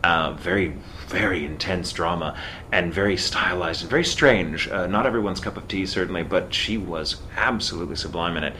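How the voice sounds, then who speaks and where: A man from Texas with a mid-Atlantic theater accent, speaking as a voice actor guest in a casual interview in an articulate, measured way. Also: low-pitched, pushed and bright, a little hiss or hum.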